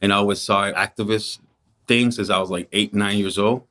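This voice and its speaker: A middle-aged man from the Dominican Republic talks through his life as a painter in a relaxed, reflective way. His voice sits somewhat low, and his storytelling is casual and unhurried. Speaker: painter and muralist, guest.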